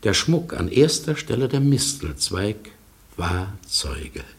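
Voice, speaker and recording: A melancholy husband in a german radio play, very low voice, big swings in pitch, dramatic and halting. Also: male voice, sing-song, exaggerated voice. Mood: mournful, intense. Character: melancholy man narrating his lost love, anxious husband. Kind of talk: German radio drama acting, narration and dialogue.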